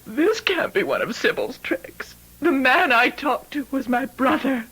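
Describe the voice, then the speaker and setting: A woman's voice: high-pitched, wildly swooping pitch, unhurried, fairly bright tone, husky, full character voice, poor audio. An actress playing a distraught, frightened young woman in an old-time radio mystery, breathless and melodramatic. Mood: tearful disbelief. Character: distraught, frightened young woman fearing she seems mad.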